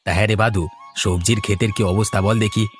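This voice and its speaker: A man playing a caring village fish farmer in a cartoon, folksy and gently cautioning. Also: fairly low pitch, steady tone, quick pace, close to an everyday voice. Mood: caring, practical. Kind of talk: cartoon dialogue. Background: instrumental music.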